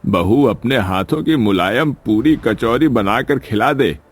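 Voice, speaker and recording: Man playing a fond elderly grandfather, unhurried, mid-pitched, poor family patriarch, moral-story character. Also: animated, slightly rough.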